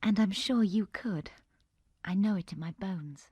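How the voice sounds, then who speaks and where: A woman's voice: medium pitch, unhurried, warm tone, breathy edge, no echo. An actress playing an earnest, anxious young woman, speaking persuasively in a radio drama. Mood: anxious, pleading. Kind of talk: radio drama, reading a letter in character.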